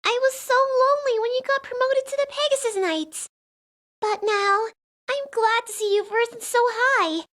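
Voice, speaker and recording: A woman's voice: extremely high pitch, moderate pace, fairly bright tone. A woman playing an earnest, affectionate, sheltered young princess with a girlish manner in a video game fandub. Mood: wistful, fond.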